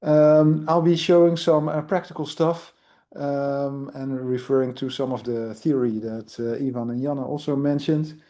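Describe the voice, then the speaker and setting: A man's voice: mid-range voice, level tone, unhurried, mellow. A man with a Dutch accent giving a casual live online demo, easygoing and full of fillers. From Netherlands. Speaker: presenter, university IT product owner.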